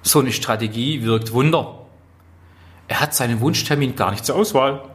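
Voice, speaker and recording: A man with a Swabian German accent gives an instructional talk as a trainer, phrasing deliberately and stressing key words. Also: mid-range voice. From Swabia, southwest Germany. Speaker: trainer, speaker. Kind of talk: instructional talk on workplace skills.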